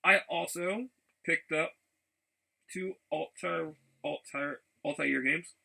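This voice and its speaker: Gravelly, bright-voiced man chatting casually. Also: on the high side. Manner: halting, repeats words and backtracks often. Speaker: vlogger showing game and figure pickups. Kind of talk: casual rambling vlog chat.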